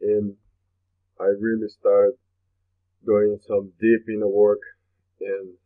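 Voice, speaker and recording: A man from Brazil with a Brazilian Portuguese accent, a native Portuguese speaker, hosting a motivational webinar in an earnest manner and often repeating his phrases. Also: on the low side. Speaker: webinar host. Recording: tinny phone-like webinar audio.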